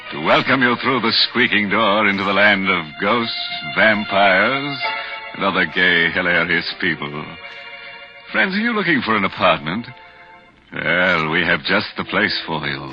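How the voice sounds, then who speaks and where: An actor playing a gleefully morbid horror host on 1940s radio, theatrical and sardonic. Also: male voice, deep voice. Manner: sardonic, theatrical, savoring macabre jokes. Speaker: host and narrator. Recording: dull old radio recording, music behind.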